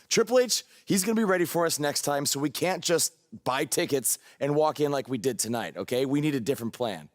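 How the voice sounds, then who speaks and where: An actor playing a cocky, scheming indie wrestler in a video game cutscene, with an eager, persuasive delivery. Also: male voice, fairly high pitch, expressive, fairly quick, no echo, studio quality, lightly acted. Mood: gleeful, scheming.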